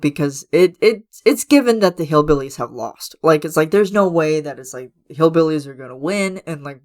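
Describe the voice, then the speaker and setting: Hoarse man rambling through a podcast. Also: on the high side. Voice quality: raspy. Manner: rambling, many fillers and restarts. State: hoarse, losing his voice; congested, coughing. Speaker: podcast host. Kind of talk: casual solo podcast chat. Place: his room at home.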